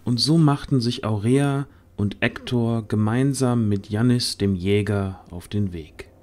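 A man's voice: fairly low pitch, unhurried, a little mellow. A man narrating a fantasy audio drama from a script as storyteller.

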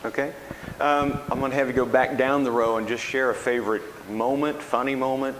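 A man's voice: medium pitch, fairly even intonation, a little fast, a bit bright. A man hosting a school radio show, interviewing a group of students in a casual, encouraging way. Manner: friendly, encouraging, chuckling asides. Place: large classroom with hard walls. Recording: echoey hall with background noise.

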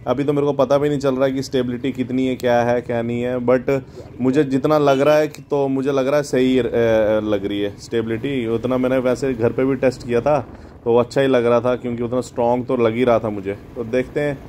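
A man's voice: mid-range voice, fairly even intonation, a little fast, mellow, a little hiss or hum. A man vlogging casually as he rides a motorbike outdoors, rambling and thinking aloud, recorded on a phone mounted on the bike. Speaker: motovlogger. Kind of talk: casual vlog chat. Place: outdoors riding a motorbike on the road.

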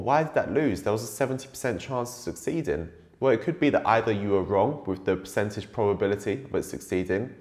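Man losing his voice, talking to camera. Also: lowish voice. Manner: animated, gestures while talking. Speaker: trading channel host. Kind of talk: casual Q&A to camera. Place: indoor room against a plain wall.

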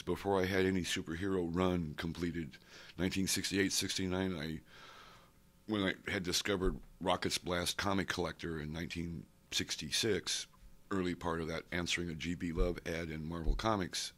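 Man in his sixties, very low voice, rambling, digressive, stand mic. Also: fairly even intonation, moderate pace, a bit bright. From grew up abroad, Saudi Arabia, Africa and India. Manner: rambling, digressive, loses the question. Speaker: interviewee, comic collector. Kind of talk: rambling oral-history interview. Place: studio with painted backdrop, indoors. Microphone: stand mic close in front.

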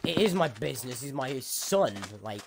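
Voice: mid-range voice, sing-song, moderate pace, creaky, heavy background noise. A young-sounding performer playing an anguished, protective parent afraid of losing a son, in an improvised game roleplay. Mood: pleading, accusing. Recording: loud music over voice.

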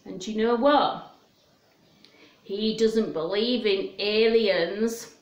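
Slow-speaking Englishwoman reading to children. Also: medium pitch, muffled recording. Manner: simple child-directed talk with questions. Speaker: children's storytime presenter. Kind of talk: reading a picture book aloud to children. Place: small room at home, indoors. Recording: roomy, echoey home recording.

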